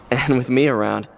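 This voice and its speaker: A man's voice: medium pitch, creaky, slight background noise. A man playing a protective special-police teammate in a video game, dramatic and urgent in his delivery. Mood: reassuring. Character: protective, reassuring male special-police teammate under strain. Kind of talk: video game cutscene acting.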